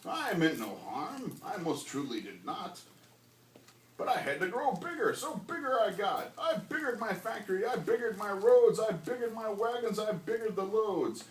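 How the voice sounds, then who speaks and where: Male storybook reader, dramatic and lively rhymed delivery, miked from a distance in a classroom. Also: high voice, moderate pace. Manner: dramatic, emphatic. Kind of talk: expressive rhymed storybook reading. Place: school classroom. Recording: roomy, distant mic, background noise.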